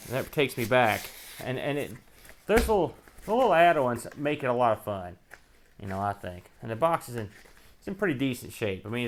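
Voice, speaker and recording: A man's voice: mid-range voice, fairly bright tone. Casual, rambling man hosting an unboxing. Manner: rambling, talks to himself while handling items. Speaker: host of a retro computer show.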